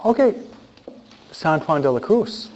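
A male priest delivers a casual sermon at a very unhurried pace, with his voice sitting a little high. He hesitates often and tosses rhetorical questions to the congregation. The recording sounds muffled and lo-fi. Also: big pitch swings. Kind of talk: conversational sermon with humor.

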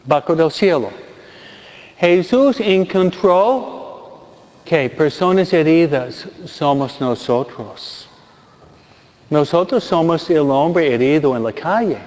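High-pitched, slow-preaching man in his sixties. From Michigan, United States. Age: 60s. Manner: deliberate, repeats phrases for emphasis. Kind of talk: sermon with personal anecdote. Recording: dull sound in long-reverberant hall.

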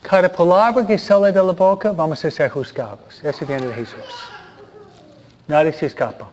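A priest delivering an earnest sermon in Spanish, often pausing for rhetorical questions. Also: male voice, a little high, a little mellow, slight background noise. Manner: earnest, preaching with repeated pleas and rhetorical questions. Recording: dull lo-fi sound.

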